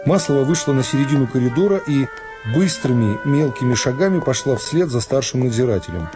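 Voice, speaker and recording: A man reading a classic novel as a scripted radio narration, in a measured, unhurried storytelling manner. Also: mid-range voice, expressive. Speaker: narrator of a literary radio serial. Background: music.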